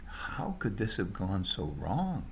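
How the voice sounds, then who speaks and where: A man's voice: low voice, wildly swooping pitch, slow. A man reading his own written story aloud as a scripted narration. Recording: noticeable background noise.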